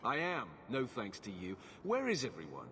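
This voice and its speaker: A weary guard captain who turns people away in a curt, firm voice that rises and falls a lot. There is a faint ambient hum behind him. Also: male voice, mid-range voice, huge pitch swings, moderate pace, bright, forceful tone. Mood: stern. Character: weary male city guard captain, blunt. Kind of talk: video game cutscene acting.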